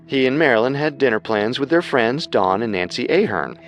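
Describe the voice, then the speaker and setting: A man's voice: mid-range voice, lively intonation, brisk. A man co-hosting a scripted true-crime show as narrator, with music underneath. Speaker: co-host and narrator.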